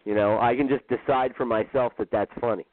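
A man's voice: mid-range voice, fairly even intonation, fairly quick. A man in his fifties chats as an interview guest, hesitant and self-deprecating, padding his thoughts with ums. The sound is thin and phone-like, with no room echo. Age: 50s. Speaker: author guest. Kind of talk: casual interview. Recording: tinny phone-line sound, dry.

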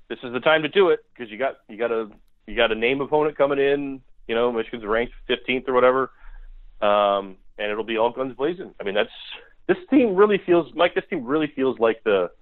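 An American man giving blunt, critical football analysis as a guest on a podcast, emphatic and repeating his points. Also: mid-range voice. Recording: tinny phone-line sound.

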